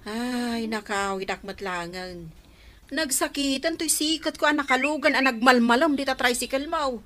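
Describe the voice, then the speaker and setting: A woman acting a sharp-tongued, pushy moneylender in a radio comedy-drama, scolding and exclaiming with an Ilocano accent. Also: sits high, normal speed. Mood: complaining, grumbling.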